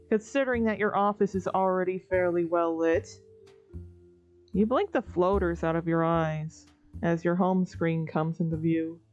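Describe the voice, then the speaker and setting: A woman's voice: fairly low pitch, highs cut off. A woman narrating a comic dub in the second person, with a wry, weary, conversational delivery. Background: soft music.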